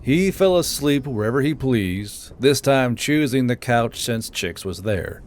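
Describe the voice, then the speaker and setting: A man's voice: mid-range voice, animated, a little slow. A man narrating a fantasy audiobook as the channel's host, in an informal storytelling style. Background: music.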